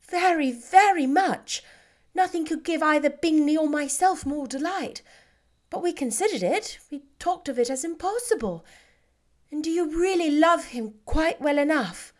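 A woman voicing Jane, gentle earnest young sister. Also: high-pitched, expressive, measured pace, voice pushed up.

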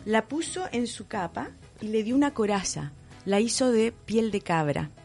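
A woman's voice: a little high, lively intonation, normal speed, darkish tone, faint music behind. A woman with a Chilean accent, speaking as a guest in a casual radio interview and explaining her products with enthusiasm and some laughter. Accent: Chilean Spanish. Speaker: guest entrepreneur.

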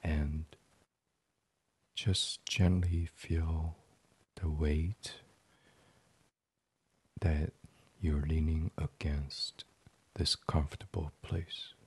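Very slow, deep, hushed man. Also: level tone. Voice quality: breathy, hushed. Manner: gentle, soothing, half-whispered. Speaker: guided meditation host. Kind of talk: ASMR sleep meditation.

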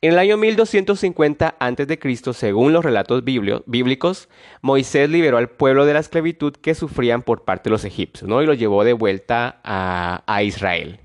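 A man with a blocked nose from a cold hosts a solo history podcast at an ordinary pitch for a man. He talks in a relaxed, conversational way, restarting phrases now and then and often closing with a small tag question. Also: moderate pace. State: nasal congestion from a cold. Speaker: podcast host.

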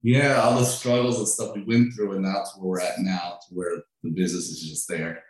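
Deep-voiced man in an echoey room. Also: fairly quick. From Peru. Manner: relaxed, chatty, with frequent restarts and fillers. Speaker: food truck owner and chef. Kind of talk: interview answers.

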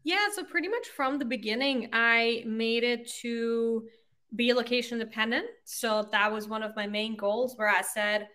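A woman in her thirties from Austria, a native German speaker, talking through her career in a casual interview with relaxed, reflective speech. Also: fairly high pitch, normal speed. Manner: relaxed, reflective, uses "like" and "you know" often. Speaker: podcast guest, agency founder.

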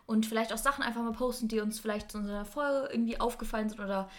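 Podcast co-host, female, early twenties. Rapid, casual chatter, giggly and full of gushing enthusiasm. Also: on the high side, fairly even intonation.

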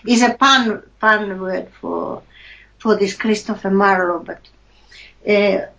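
A woman from Finland with a Finnish accent, chatting casually about a film, searching for words and pausing often. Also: medium pitch, a bit bright, a little hiss or hum. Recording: dull lo-fi recording.

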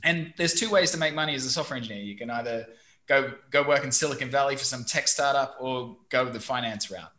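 Chatty Australian guest in his thirties, bright and forceful delivery. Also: medium pitch, quick pace. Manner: chatty, storytelling, mild swearing. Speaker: guest, digital marketing company owner. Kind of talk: casual podcast interview.

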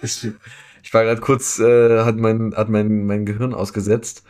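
A man's voice: lowish voice, level tone, unhurried, darkish tone, smooth. A man in his twenties co-hosting a podcast in casual, comedic chat, talking in an informal, jokey, slangy way. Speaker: podcast co-host.

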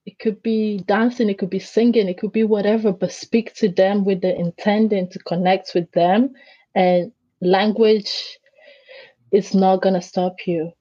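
A woman whose first language is Greek, a guest in an interview who tells stories in an animated way and acts out the voices she quotes. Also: medium pitch, unhurried, breathy edge, dry recording, highs cut off. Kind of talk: conversational interview.

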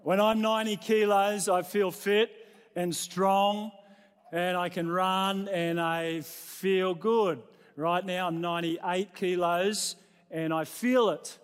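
A man's voice: sits high, fairly even intonation, slow pace, clean tone. An Australian man, a middle-aged pastor preaching in a conversational, self-deprecating manner, building points through repeated phrases. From New South Wales, Australia. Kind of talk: sermon to a congregation. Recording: long reverberant hall sound.

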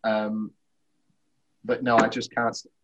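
A British man hosting a casual film podcast, talking in a teasing, opinionated, animated way. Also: lowish voice, takes it slowly, muffled recording, background noise. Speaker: podcast host.

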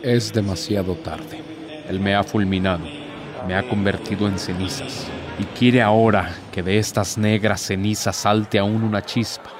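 A man acting a suave, low-voiced ancient Devil. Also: flat delivery, normal speed, background noise, close to an everyday voice. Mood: anguished, bitter. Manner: formal, courtly, insinuating speech. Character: ancient courtly Devil, suave, sly and despairing male tempter. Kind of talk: theatrical radio drama acting. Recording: music behind the voice.

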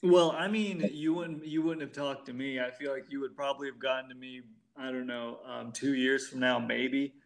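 A man in his early thirties chats casually as a podcast guest. His voice is medium in pitch, and he rambles hesitantly, dropping in mild exclamations. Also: steady tone, normal speed, few pauses. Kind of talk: casual chat.

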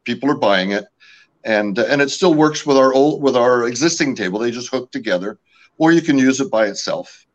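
A man's voice: mid-range voice, animated, brisk, muffled recording. A man from California, raised speaking French at home, talking about his company's products in a casual interview, with many fillers and restarts. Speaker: company representative.

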